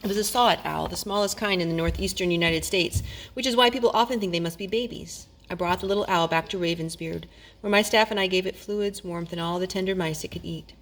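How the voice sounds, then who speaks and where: A woman's voice: lowish voice, quick pace. A woman reading a children's storybook aloud as a storyteller, with dramatic, emphatic phrasing.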